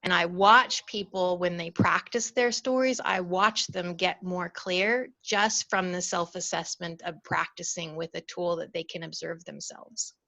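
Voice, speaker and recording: A woman who coaches storytelling, presenting an online webinar in a friendly, direct and slightly halting way over a video call. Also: fairly low pitch, steady tone, vocal fry, pushed and bright. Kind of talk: casual online webinar talk.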